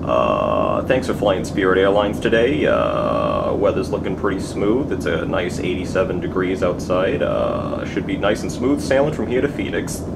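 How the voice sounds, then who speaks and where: A man's voice: low-pitched, very animated, moderate pace, gravelly, few pauses, heavy background noise, full character voice. A man playing a sleep-deprived, guilt-ridden man of many jobs in a comedy skit, blubbering and making excuses. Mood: subdued. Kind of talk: comedy skit character acting.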